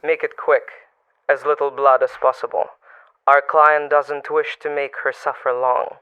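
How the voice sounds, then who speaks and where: Radio drama: man as coolly commanding killer's boss, crisp voice, dull dry phone filter. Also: medium pitch, little pitch movement, a little slow, no room sound. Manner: businesslike, clipped, matter-of-fact. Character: cold-blooded male crime boss coolly ordering a murder. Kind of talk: old-time radio drama acting.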